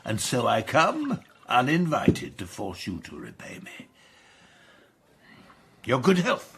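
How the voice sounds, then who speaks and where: Roguish older English lord, jovially pompous and grasping, husky voice with swooping delivery, for radio drama. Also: male voice, medium pitch, wildly swooping pitch, takes it slowly, a bit bright, full character voice. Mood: scornful, demanding. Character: roguish, spendthrift aristocratic father, genial but grasping. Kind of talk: British radio drama acting.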